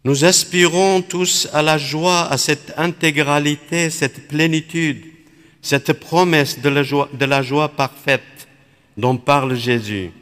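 Slow, reflective priest in a hall. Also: male voice, mid-range voice, fairly bright tone. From Iraq. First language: Aramaic. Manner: measured, contemplative, phrase by phrase. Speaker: Dominican priest. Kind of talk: spiritual reflection.

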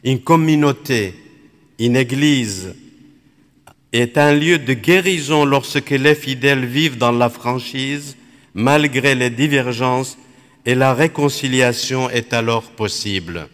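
Slow, reflective priest in a hall. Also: male voice, mid-range voice, fairly bright tone. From Iraq. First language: Aramaic. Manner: measured, contemplative, phrase by phrase. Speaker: Dominican priest. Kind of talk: spiritual reflection.

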